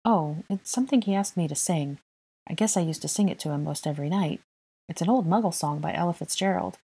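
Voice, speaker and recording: A woman voicing a bashful young mother in a storybook, gentle and modest with a playful touch. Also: on the low side, fairly quick, creaky, slightly rough. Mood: embarrassed. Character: soft, bashful young witch mother in her twenties.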